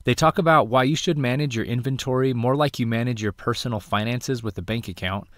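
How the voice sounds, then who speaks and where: A man's voice: medium pitch, a little fast, a bit bright, dry recording, clean studio sound. A man hosting the show, reading a friendly scripted introduction to the episode.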